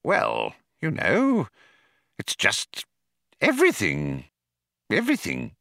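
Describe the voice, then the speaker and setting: A man's voice: rumbling low, wildly swooping pitch, takes it slowly, a bit bright, no echo, clean studio sound, exaggerated voice. A man voicing a nervous, deflated male seeker in an audiobook, with a hesitant, weak mutter.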